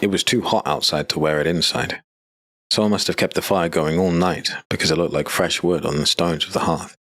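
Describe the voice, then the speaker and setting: A man narrating a dark fantasy audiobook in a steady, measured way. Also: low-pitched.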